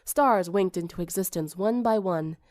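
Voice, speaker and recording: A woman's voice: fairly low pitch, moderate pace, a little mellow, no echo. Woman narrating a story, very dry sound. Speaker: audiobook narrator.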